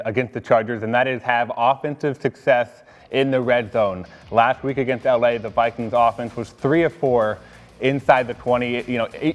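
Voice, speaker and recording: A man's voice: medium pitch, big-room sound. An American man talking casually as a sports analyst on a team show.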